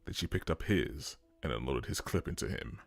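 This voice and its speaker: Deep, creaky male narrator. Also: animated, normal speed, no room sound. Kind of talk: dramatic scripted narration.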